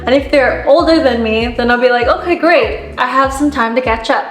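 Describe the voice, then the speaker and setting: A woman's voice: high-pitched, moderate pace. Young female self-help vlogger, earnest and direct, voice a little worn; roomy echo. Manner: earnest, frequent "like" fillers, direct address. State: losing her voice, sniffly. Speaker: self-help content creator. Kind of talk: casual motivational talk to camera. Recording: echoey room with faint music.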